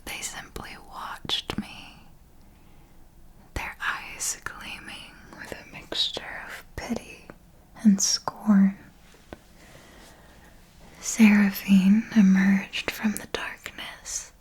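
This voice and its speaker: A woman playing a seasoned female vampire mentor in a whispered ASMR roleplay, telling tales with theatrical, formal, deliberate diction. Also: medium pitch, little pitch movement, lightly acted. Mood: solemn, haunted. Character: seasoned female vampire mentor, doting, menacing, solemnly recounting.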